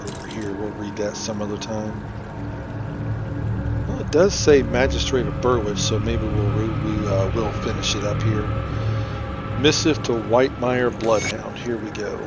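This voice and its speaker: A man's voice: lowish voice, fairly even intonation, measured pace, loud music behind the voice. A man giving casual, informal gameplay commentary, thinking aloud as he plays. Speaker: gamer, playthrough host.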